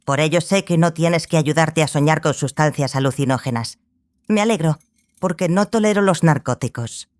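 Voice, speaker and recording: A woman's voice: low voice, lightly acted. Woman acting a self-assured, wry sorceress mentor. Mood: brisk, approving. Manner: measured, formal, dryly ironic. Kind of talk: dramatized audiobook acting.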